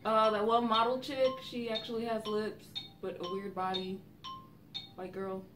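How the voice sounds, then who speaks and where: A woman's voice: medium pitch, flat delivery, normal speed. A young woman chatting casually and giving game clues with family on a couch, recorded by a camera a few metres away. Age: young adult. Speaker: vlogger playing a family party game. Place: living room, on a couch. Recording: roomy, distant camera mic, faint music.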